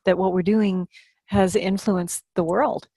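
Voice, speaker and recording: A woman's voice: mid-range voice, steady tone, measured pace, dark tone, dry recording. A woman on a podcast panel in casual discussion, speaking assertively as she backs up the points being made. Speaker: podcast panelist on a book series team.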